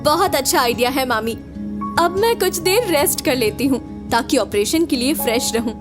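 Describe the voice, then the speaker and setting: High-voiced, composed young doctor. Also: female voice, animated, moderate pace, music in the background. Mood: warm, polite. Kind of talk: Hindi audio drama acting.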